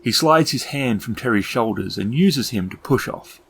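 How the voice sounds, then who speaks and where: A man's voice: mid-range voice, lively intonation, normal speed, gravelly, a bit bright, slightly rough. A man narrating a tabletop roleplaying game as its game master. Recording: music bed under the voice. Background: music.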